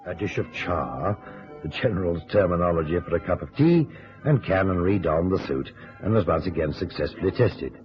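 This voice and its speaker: Deep-voiced, animated agency chief. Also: male voice, moderate pace, soft background music. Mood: measured narrating. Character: male detective agency chief, fretful, dryly authoritative. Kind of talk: old-time radio drama acting, some narration. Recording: muffled, noisy vintage radio recording.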